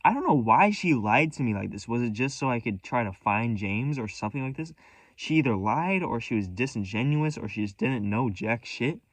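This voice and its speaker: A man's voice: mid-range voice, lively intonation, normal speed, dry recording. A man telling a rambling story in a vlog, profane and scattered, restarting sentences and commenting on his own spiraling thoughts. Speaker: vlogger.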